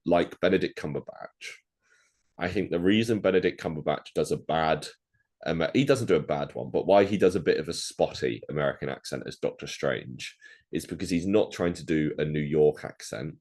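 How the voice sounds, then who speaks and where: A man's voice: low-pitched, expressive, moderate pace, a little mellow. A man co-hosting a film podcast, chatting casually and rambling with lots of restarts and fillers. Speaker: podcast co-host. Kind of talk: casual chat.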